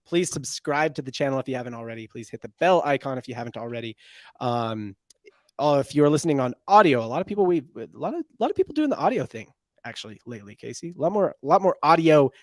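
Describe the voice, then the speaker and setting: Guy hosting a sports podcast, middle pitch, rambly, lots of uhs, dry close mic. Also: sing-song, quick pace, no room sound. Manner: loose, rambling delivery with many fillers and restarts. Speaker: podcast host. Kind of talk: casual sports podcast chat.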